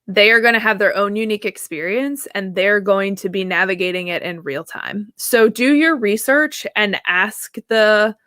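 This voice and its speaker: A woman in her thirties, a therapist guest answering at length in a casual, animated way, laughing easily and often checking in with "right?". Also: medium pitch, normal speed, hardly any pauses, no room sound, clean studio sound. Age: 30s. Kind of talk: conversational interview answer.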